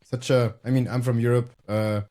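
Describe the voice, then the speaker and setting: A man's voice: mid-range voice, flat delivery, moderate pace, warm tone. A man from Germany, speaking, hosts a podcast interview in a hesitant way, searching for his words. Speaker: podcast host. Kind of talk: casual interview chat.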